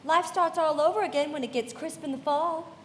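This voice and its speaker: Wry society woman played by a woman, high, noisy hall. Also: a little fast, close to an everyday voice. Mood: dry, teasing. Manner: dry, teasing, sardonic. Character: wry, cool, worldly young society woman. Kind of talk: live radio play acting. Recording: reverberant hall with clear background noise.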